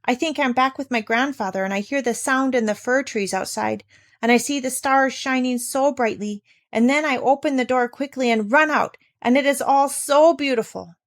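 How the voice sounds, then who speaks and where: A woman's voice: high-pitched, normal speed, pitched above the performer's own voice. Woman playing a little girl, homesick, timid, tearful, raised voice, storybook. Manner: small, hesitant, tearful. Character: young girl, homesick and frightened.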